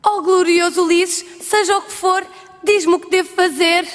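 A woman's voice: extremely high pitch, steady tone, normal speed. A woman voicing a proud, seductive sorceress in a European Portuguese cartoon dub, with formal, theatrical delivery. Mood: pleading. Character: proud seductive sorceress, vengeful, then pleading. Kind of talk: dubbed cartoon acting. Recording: long hall reverb.

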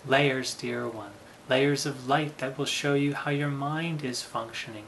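A man teaching a guided meditation in a solemn, deliberate manner, with formal, measured phrasing. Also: medium pitch, fairly even intonation, a bit bright, slight background noise.

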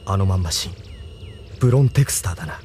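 A man's voice: low-pitched, expressive, fast talker, darkish tone, faint music behind. An actor playing an intense young male mecha pilot, dramatic and urgent, in an anime radio drama. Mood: wary, tense.

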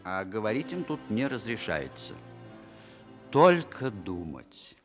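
Male narrator for radio theatre: unhurried, wry, widely varied intonation. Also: lowish voice, big pitch swings. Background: music.

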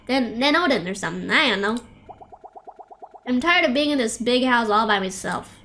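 Higher-voiced young woman streaming a game. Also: expressive, normal speed, sharp tone. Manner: casual, stumbles and rereads lines. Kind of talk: livestream read-aloud of visual novel dialogue with casual asides. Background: game music.

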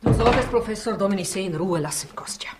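An actress playing a disillusioned, reproachful secretary to a Soviet agent in a 1960s radio crime play. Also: female voice, medium pitch, fast talker, darkish tone, close to an everyday voice. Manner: reproachful, earnest. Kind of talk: 1960s German-language radio crime drama acting. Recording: noisy old radio recording.

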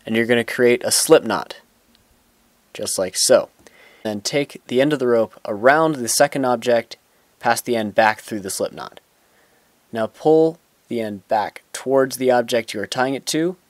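A young man explains knots step by step in a plain, instructional voice of medium pitch. He now and then restarts a sentence mid-thought. The sound is dry and close, with little room around it. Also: no echo. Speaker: knot-tying instructor. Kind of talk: step-by-step tutorial. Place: outdoors on grass.

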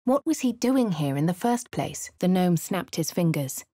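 Posh british fantasy game heroine, lowish voice, measured n dramatic, super dry studio sound. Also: female voice, animated, mellow, dry recording. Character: posh, composed female fantasy adventurer. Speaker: voice actor for a video game player character. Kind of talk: scripted game voice lines and narration.

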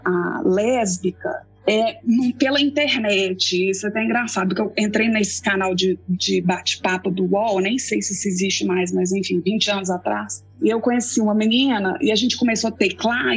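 Husky woman with a Belo Horizonte accent. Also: medium pitch, normal speed, faint music behind. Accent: Belo Horizonte Portuguese. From Belo Horizonte, Brazil. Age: 40s. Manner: thoughtful, with frequent fillers and restarts. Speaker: guest theologian. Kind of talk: reflective personal interview.

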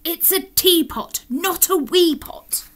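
Woman as an urgent, high-pitched toy robot. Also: takes it slowly. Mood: scolding. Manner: exclamatory, scolding. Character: urgent, scolding toy robot friend in a storybook. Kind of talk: children's picture-book read-aloud.

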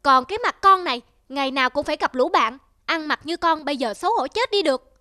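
A woman voicing a selfish young adult son in an animated moral tale, whining and complaining demandingly. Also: high voice, fast, dry recording, clean studio sound. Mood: whiny demanding. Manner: petulant, complaining.

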